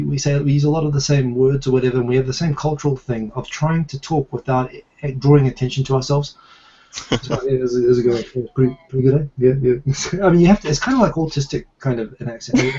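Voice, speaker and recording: A man with a softened New Zealand accent hosting a casual live video chat, talking in halting restarts and repeated words. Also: medium pitch, highs cut off, slight background noise. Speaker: live show host. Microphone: video call.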